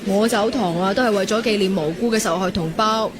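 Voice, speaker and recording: A woman acting a lively young university student in a radio drama, playful, defensive and wistful by turns. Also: mid-range voice, a little fast, music in the background, natural voice. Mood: playfully defensive. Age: young adult. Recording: crowd chatter behind the voice.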